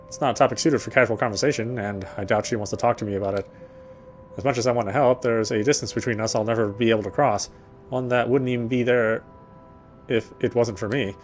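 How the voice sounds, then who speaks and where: An actor playing a guarded, dry-witted young man who cares quietly, speaking his inner thoughts and dialogue in a wry, introspective way. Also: medium pitch, close to an everyday voice. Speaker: game protagonist. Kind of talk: first-person inner monologue and dialogue.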